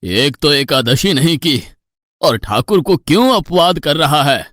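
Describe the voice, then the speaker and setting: A man voicing a slow, strict old guru. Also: a little high, lively intonation, fairly bright tone, no room sound, clean studio sound. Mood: scolding. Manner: slow, admonishing, fatherly. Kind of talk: Hindi cartoon story dubbing.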